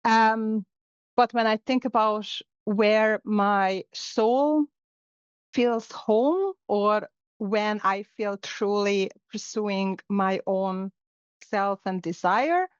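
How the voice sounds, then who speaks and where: A woman's voice: mid-range voice, drawn-out words, clean tone, no room sound. Hungarian woman reflecting, dry lo-fi sound. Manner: reflective, with frequent fillers and restarts. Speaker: guest. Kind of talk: casual interview conversation. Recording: dull, lo-fi sound.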